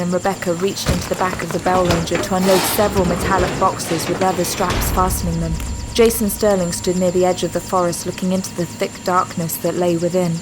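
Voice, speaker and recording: A woman's voice: lowish voice, heavy background noise. A woman reading scripted dramatic narration for an audio drama. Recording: music under the voice. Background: background music.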